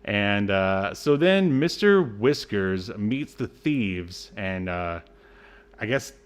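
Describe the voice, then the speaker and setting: Casting: male podcast co-host, lower-pitched voice, casual movie-review banter, halting and wryly exasperated delivery. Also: lively intonation, clear, resonant tone. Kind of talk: casual film review chat.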